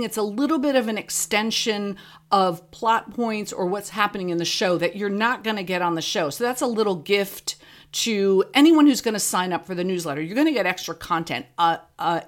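A woman talks as a guest in a casual interview. Her voice sits at an average pitch for a woman. She explains her work in an everyday conversational style. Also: animated, normal speed. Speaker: interview guest, fiction podcast creator.

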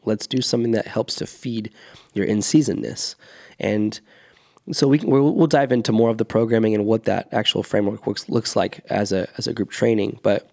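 A man's voice: on the low side, steady tone, moderate pace, creaky, mellow. A man in his thirties, a fitness coach co-hosting a podcast, explaining earnestly in a casual chat with restarts.

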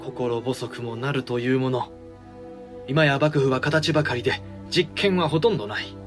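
A man's voice: medium pitch, moderate pace, soft background music, close to an everyday voice. A man playing a gloomy, reluctant samurai regent, formal and rueful, in a period audio drama.

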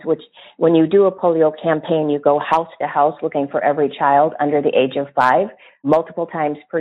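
A woman directing a health program, explaining in an interview with small restarts. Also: low-pitched. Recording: tinny phone-like line.

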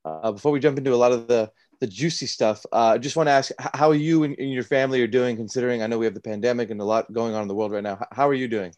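Sports podcast host guy, talks quick, friendly, dry sound. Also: medium pitch, a little mellow, no echo. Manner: friendly, filler-heavy questions. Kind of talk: casual interview.

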